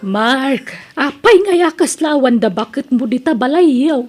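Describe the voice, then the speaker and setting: A man's voice: extremely high pitch, animated, unhurried, soft background music, voice pushed up. A man voicing a nosy middle-aged woman neighbor who asks prying questions, heard from a distance in an audio drama. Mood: curious.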